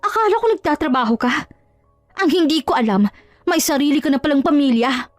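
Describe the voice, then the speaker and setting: Woman acting a furious betrayed wife, high-pitched. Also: expressive, fairly quick, no echo, faint music behind. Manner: emphatic, accusing, dramatic. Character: betrayed wife, furious, anguished, accusing, confronting cheating husband.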